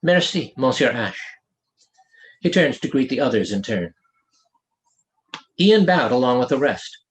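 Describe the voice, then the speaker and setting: A man reading his own fantasy novel aloud as an author, in expressive scripted narration. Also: medium pitch, moderate pace, poor audio.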